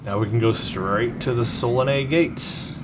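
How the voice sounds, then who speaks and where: A man's voice: medium pitch, unhurried. A man doing casual gameplay commentary as host, thinking aloud with chatty asides, on a slightly noisy recording. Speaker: gaming video host.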